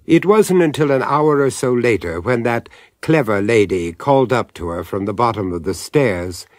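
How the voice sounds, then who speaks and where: Horror radio narrator, male actor; mid-pitched, suave and wry, expressive storytelling, very dry studio sound. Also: normal speed, no room sound, lightly acted. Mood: suspenseful narration.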